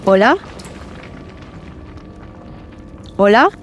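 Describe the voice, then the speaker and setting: A woman's voice: mid-range voice, expressive, a little fast, a little hiss or hum, close to an everyday voice. A woman playing a frightened, desperate lost woman in a dramatized horror audio story. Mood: nervous calling out. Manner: panicked, desperate, pleading. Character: frightened lost woman, Valeria, alone in a ghost station.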